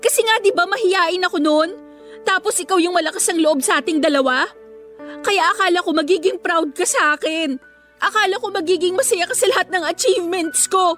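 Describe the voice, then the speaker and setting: A woman's voice: very high voice, a little fast, fairly bright tone, soft background music. An actress in a Filipino radio drama playing an earnest, hurt teenage schoolgirl who defends herself with emotional reproach, in a Filipino accent. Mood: hurt, pleading.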